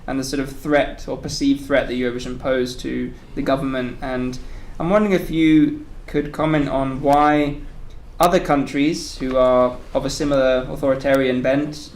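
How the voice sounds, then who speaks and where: A man moderating an informal panel discussion, phrasing his questions politely and tentatively. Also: medium pitch. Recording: echoey room with faint noise.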